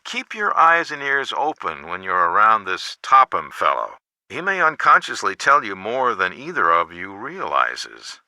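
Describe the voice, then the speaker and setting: A man's voice: lowish voice, wildly swooping pitch, unhurried, pushed and bright, no echo, exaggerated voice. A man voicing a caring middle-aged American father in a video game, articulate and advising. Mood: thoughtful, advising. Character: teenage detective's caring, measured lawyer father.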